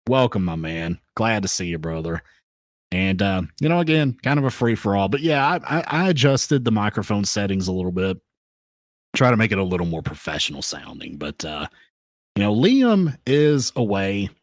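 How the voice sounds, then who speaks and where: A man hosting a solo podcast, talking off the cuff with hyped banter and shout-outs to his chat, into a compressed studio microphone. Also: fairly low pitch, animated, normal speed, no echo. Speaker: podcast host.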